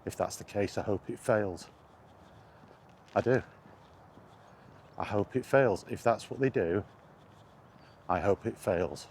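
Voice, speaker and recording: A man's voice: on the low side, slow pace, pauses often, darkish tone, slight background noise. A man ranting indignantly in a casual spoken vlog, recorded while walking outdoors. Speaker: gaming vlogger.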